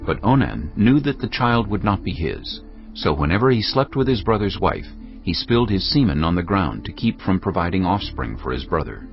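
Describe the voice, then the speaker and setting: A man's voice: low-pitched, big pitch swings, normal speed. A man reading scripted scripture narration for a dramatized audio Bible. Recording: dull lo-fi audio under music. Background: prominent background music.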